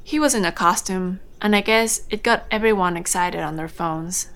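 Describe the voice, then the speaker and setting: A woman playing a warm, chatty older housewife in a naturalistic audio drama. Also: lowish voice, normal speed. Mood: chatty, mildly grumbling.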